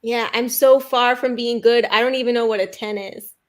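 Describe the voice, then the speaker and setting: A high-pitched woman tosses off puns in a playful, halting way, full of little fillers and giggles. She talks over a video call in quick-fire comedy banter. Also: steady tone. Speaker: pun contest competitor.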